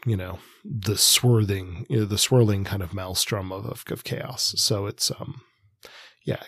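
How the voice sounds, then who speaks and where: A man co-hosting a podcast, giving conversational analysis in a hesitant, measured way, thinking aloud as he goes. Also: fairly low pitch, breathy edge, no room sound. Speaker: podcast co-host, comics expert.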